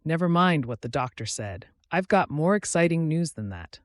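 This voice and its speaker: A man's voice: fairly high pitch, big pitch swings, normal speed, dry recording, clean studio sound. A man voicing a kindly, bashful older woman, a folksy frontier cook in an audiobook. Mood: excited. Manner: folksy, dropped g's, homely endearments.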